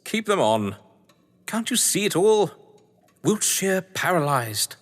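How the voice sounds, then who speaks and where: An actor playing a scheming, theatrical English crime novelist in an audio drama, pompous, arch and bossy, with an English accent. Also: male voice, sits high, sing-song, slow pace, full character voice. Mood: gleeful, commanding. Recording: long hall-like reverb.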